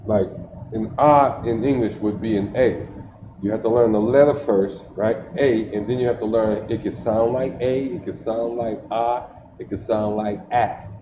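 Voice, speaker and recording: A man's voice: lowish voice, steady tone, normal speed. A man teaching letter sounds, reciting syllables one by one and often stopping to check whether his listeners follow. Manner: repeats syllables and keeps checking "you see that?". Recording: echoey, dull, noisy livestream audio.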